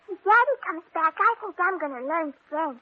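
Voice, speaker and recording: A woman's voice: very high-pitched, animated, moderate pace. A woman playing an inquisitive, trusting little girl who chatters artlessly, in old-time radio drama style. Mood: curious, friendly. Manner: artless, eager questioning. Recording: dull, muffled old radio broadcast.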